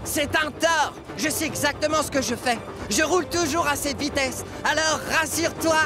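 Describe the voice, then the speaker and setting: Boastful male train engine, very high voice, haughty, impatient, cartoon dub, music behind. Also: moderate pace. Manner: pompous, haughty. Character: vain, boastful, impatient male steam locomotive. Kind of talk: dubbed children's cartoon acting.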